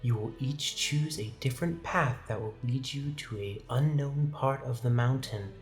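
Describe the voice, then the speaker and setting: A man's voice: medium pitch, normal speed, roomy sound, faint music behind, lightly acted. A man voicing two expressionless ten-year-old twins who speak in unison, formal and solemn, in a tabletop game.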